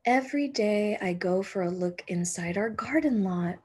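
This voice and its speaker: A woman in her thirties reading a children's picture book aloud like a teacher, with gentle, expressive phrasing. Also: medium pitch, moderate pace, slightly rough.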